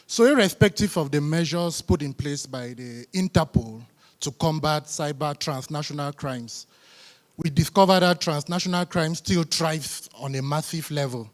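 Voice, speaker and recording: A young man with a Cameroonian accent puts a formal question as a student audience member. His voice is fairly high for a man, with long reverb from the hall around it. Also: moderate pace. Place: large conference hall, public address.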